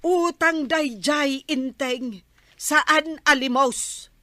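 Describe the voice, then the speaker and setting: A woman's voice: high voice, very slow, bright, forceful tone, dry recording, heavily acted. A woman playing a nagging, exasperated village wife in an Ilocano-accented comedy radio drama, scolding and insistent.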